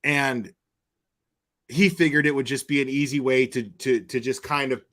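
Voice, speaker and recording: A man's voice: medium pitch, fairly even intonation, moderate pace, a bit bright. A man giving casual online opinion commentary in a rambling, blunt and crude way, swearing freely. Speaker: YouTube commentator.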